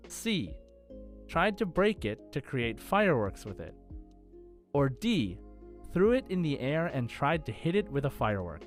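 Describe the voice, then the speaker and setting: A man hosting a podcast quiz in a casual, chatty style. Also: a little high. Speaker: podcast host and quizmaster. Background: faint background music.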